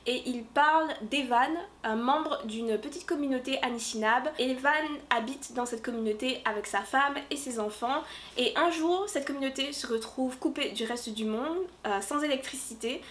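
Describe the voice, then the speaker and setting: Upbeat woman book reviewer with a slightly high voice, talking casually to camera with lots of little pauses. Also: fairly bright tone, slight background noise. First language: French. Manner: chatty and upbeat, many filler pauses. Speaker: book vlogger and fantasy author. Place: room decorated for Halloween.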